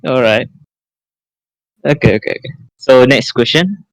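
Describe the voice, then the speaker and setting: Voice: mid-range voice, lively intonation, normal speed, no room sound, low-quality recording. A podcast host casually posing questions to friends in an informal group chat. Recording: close dry voice over guitar music. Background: guitar music.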